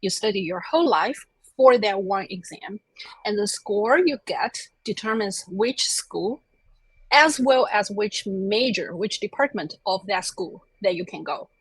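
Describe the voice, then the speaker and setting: A woman's voice: medium pitch, lively intonation, unhurried, breathy edge, dry recording, poor audio. A woman in her thirties from China, a native Chinese speaker, telling her life story in a chatty, self-deprecating way as an interview guest. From China (Chengdu). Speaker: interview guest, plant scientist.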